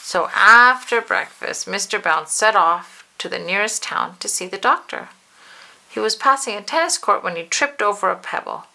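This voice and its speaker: Expressive woman reading a children's story, creaky voice. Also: medium pitch, rough recording. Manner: animated, drawn-out emphasis, addresses the listener. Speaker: storybook reader. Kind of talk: expressive children's read-aloud narration.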